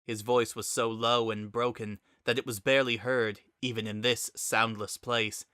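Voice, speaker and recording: Bright, dry-sounding dramatic story narrator. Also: medium pitch, flat delivery, normal speed, dry recording.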